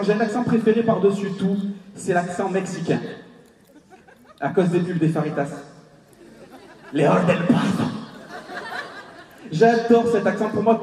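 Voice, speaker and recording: A man doing a stand-up comedy set, chatty and relaxed, on a large open-air stage. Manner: chatty, puts on a squeaky high child's voice. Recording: distant, echoey open-air stage with audience.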